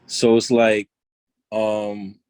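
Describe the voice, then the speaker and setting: A man's voice: lowish voice, monotone, very slow, darkish tone, clear, resonant tone, no room sound. A young man from Long Island, talking loosely in an interview and often saying "you know what I'm saying". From Long Island, New York. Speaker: rapper guest. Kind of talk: casual interview talk.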